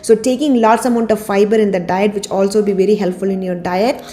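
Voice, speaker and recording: Woman doctor, slightly raspy from a cold. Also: mid-range voice, fairly quick. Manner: steady explanatory delivery, lists items one by one. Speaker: doctor giving health advice. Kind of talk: informal health talk to camera. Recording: faint background music.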